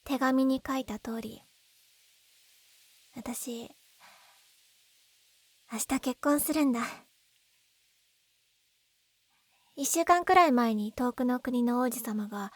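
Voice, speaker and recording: Girl voice actress doing soft high breathy lovesick young woman, asmr roleplay, super close dry mic, tender and emotional. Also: no room sound. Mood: wistful, relieved. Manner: soft, breathy, intimate, often trailing into whispers. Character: lovesick young woman, gentle, tearful, pleading with childhood friend. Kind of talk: Japanese ASMR-style situation voice roleplay. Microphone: close binaural dummy-head microphone. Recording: very dry, close binaural recording.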